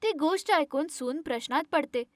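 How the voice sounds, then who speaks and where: A woman narrating an animated moral story in a scripted storytelling style. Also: high-pitched, normal speed, dry recording, studio quality.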